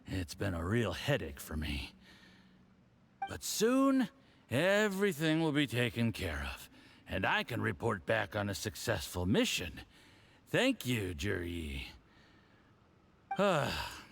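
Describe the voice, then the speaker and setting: A swaggering, hard-drinking villainous agent, swooping delivery. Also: male voice, lowish voice, wildly swooping pitch, takes it slowly, gravelly, a bit bright, a little hiss or hum, heavily acted. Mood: smug, satisfied. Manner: hearty, condescending, theatrical. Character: boastful, hard-drinking male foreign agent, smugly commanding. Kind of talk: video game voice acting.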